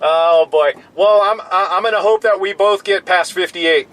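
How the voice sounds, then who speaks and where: A man's voice: high voice, normal speed, a bit bright, low-quality recording. An American man in his fifties chatting casually as a CB radio operator, explaining things in an easygoing, rambling way. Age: 50s. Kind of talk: casual two-way radio chat. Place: in a pickup truck. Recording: in-vehicle recording with clear background noise.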